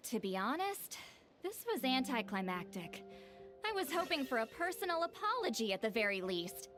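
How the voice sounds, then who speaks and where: A brash young warrior girl from an anime-style game. Her voice is high and very lively, with a haughty, boastful edge and a short fuse. Game music plays clearly behind her. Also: normal speed. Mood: dismissive. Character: proud, brash young female warrior, easily vexed. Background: video game music.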